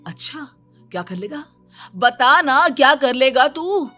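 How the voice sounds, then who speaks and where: A man's voice: extremely high pitch, expressive, slow, pushed and bright, faint music behind, heavily acted. A man playing a stern, exasperated middle-aged father who scolds and nags, in a cartoon moral story. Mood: angry, suspicious. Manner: scolding, nagging.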